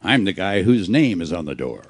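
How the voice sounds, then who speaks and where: A man playing a world-weary, wisecracking private detective in a hard-boiled radio play, delivering his lines deadpan. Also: deep voice, normal speed. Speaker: amateur actor in a live radio play. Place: live performance on a cruise ship.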